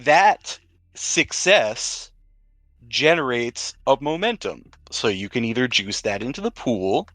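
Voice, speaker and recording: A man acting as game master, narrating a tabletop role-playing game in measured, deliberate phrases with pauses. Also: medium pitch, sing-song, a bit bright, no room sound.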